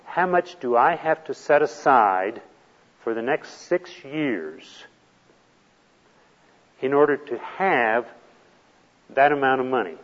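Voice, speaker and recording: A man's voice: mid-range voice, animated, takes it slowly, pauses often, big-room sound, highs cut off. A man teaching a retirement planning class, explaining step by step in a patient, plain way. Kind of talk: classroom lecture.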